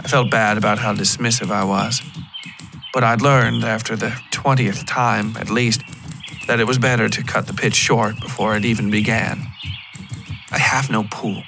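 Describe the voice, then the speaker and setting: A man narrating a scripted first-person story in a measured, literary storytelling style. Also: lowish voice, steady tone, vocal fry, noisy background. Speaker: fiction podcast narrator.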